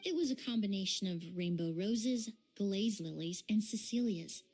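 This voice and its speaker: An actress playing a composed young female perfumer in a video game, explaining things in a measured, articulate, quietly firm way. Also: medium pitch, expressive, close to an everyday voice. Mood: firm, matter-of-fact.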